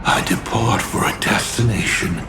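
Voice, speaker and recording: A man voicing a proud, theatrical and ancient scheming villain in a video game, speaking in a grandiose, deliberate, gravelly way. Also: on the low side, heavy background noise, natural voice. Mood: proud, resigned. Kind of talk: dramatic video game voice acting. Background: music.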